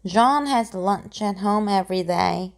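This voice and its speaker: A woman reading simple grammar example sentences aloud in a slow, deliberate way, pausing between each one. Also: medium pitch, creaky.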